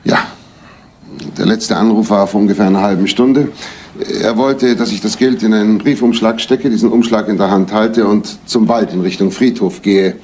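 Casting: male actor as composed threatened husband, fairly low voice, reserved, old crime radio play with slight noise. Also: steady tone, normal speed, a little mellow, lightly acted. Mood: reporting, controlled. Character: composed husband reporting a blackmail threat to police. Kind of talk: retro German radio crime drama acting. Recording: noisy vintage radio play recording.

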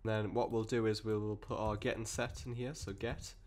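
A man with a cold and a croaky voice, explaining code step by step in a rambling way with many fillers and restarts. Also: lowish voice, level tone, a little fast, darkish tone. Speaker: programming tutor. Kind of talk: screen-recorded coding tutorial.

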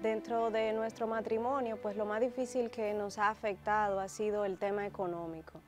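A Dominican woman in her thirties giving a personal testimony to camera in a studio, composed and measured. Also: mid-range voice, fairly even intonation, faint music behind. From Dominican Republic. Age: 30s. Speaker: guest. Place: TV studio, dark backdrop. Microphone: lapel mic.

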